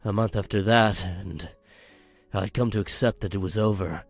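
A man's voice: lowish voice, moderate pace, no echo, faint music behind. An actor playing a grateful middle-aged father in a visual novel, speaking earnestly and with emotion. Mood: relieved, grateful. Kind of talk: dramatic visual novel acting.

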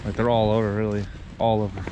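Clear outdoor noise surrounds a man with a fairly low voice. He hosts a fishing video, commenting casually on the spot out on the ice, picked up by a body-worn action camera. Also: level tone, fast, muffled recording. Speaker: host of a fishing channel. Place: outdoors on a frozen lake while ice fishing.

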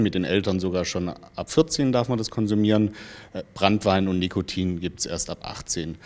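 Low-voiced man explaining matter-of-factly. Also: a little mellow. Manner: measured, matter-of-fact. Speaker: lawyer, interviewed expert. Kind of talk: explanatory interview answers. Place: small indoor information room with bookshelves. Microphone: handheld microphone held out to him.

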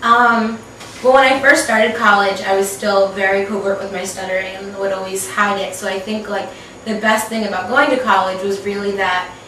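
A young woman chats casually as a guest host. Her voice is bright and forward at a medium pitch, recorded at a distance in a very echoey living room. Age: young adult. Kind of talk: casual chat. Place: small indoor living room. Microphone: far camera. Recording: distant mic, echoey room, faint hiss.

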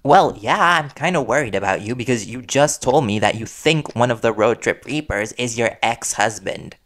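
A man playing a worried reporter boyfriend in an intimate audio roleplay, earnest and halting as he gently confronts his partner. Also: mid-range voice, animated, natural voice. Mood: anxious, curious.

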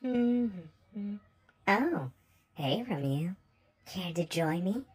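A woman voicing a confident, flirtatious female hellhound in an amateur cartoon comic dub, breathy and husky. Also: fairly low pitch, expressive, very slow, full character voice. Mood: pleased, confident.

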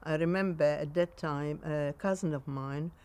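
A woman in her nineties with a Polish accent, recounting memories in a halting way in a sunny garden. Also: low-pitched, a little mellow, clean tone. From Poland. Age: 90s. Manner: halting, with frequent pauses and restarts. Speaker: interviewee.

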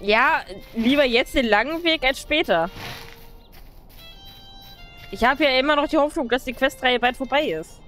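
A woman's voice: high-pitched, normal speed, long pauses, a bit bright, faint music behind. A young woman, a streamer teasing her co-op partner in casual gaming chat, laughing often.

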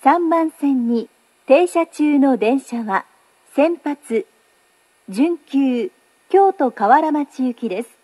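A woman's voice: sits high, expressive, slow, dark tone. A woman making formal, carefully enunciated recorded train platform announcements as a station announcer.

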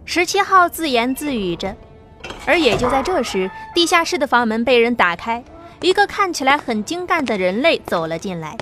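Woman audiobook narrator, high voice, dramatic storytelling, faint music behind. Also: sing-song, normal speed. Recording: soft background music.

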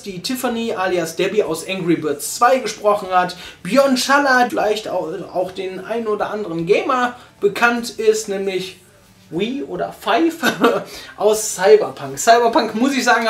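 A man's voice: high voice, fairly bright tone, roomy sound. A young man hosting a casual review chat on a sofa, enthusiastic and rambling with lots of fillers. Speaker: podcast host and reviewer. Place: small living room, on a sofa.

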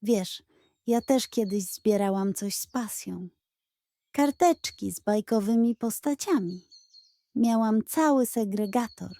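A woman voicing a kindly, gentle female duck who runs a café in a children's story. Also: fairly high pitch, takes it slowly, no room sound, clean studio sound. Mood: warm, reminiscent. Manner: gentle, encouraging.

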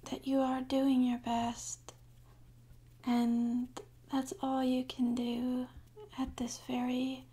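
A woman speaking softly and half-whispering in a gentle, soothing, unhurried way, as an ASMR guide leading a sleep meditation. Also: a little high. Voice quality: soft, breathy, half-whispered.

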